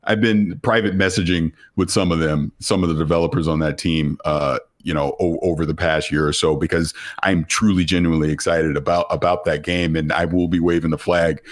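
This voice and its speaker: A man's voice: low voice, steady tone, fairly quick, hardly any pauses. A man talking as a guest on a casual podcast, emphatic and opinionated.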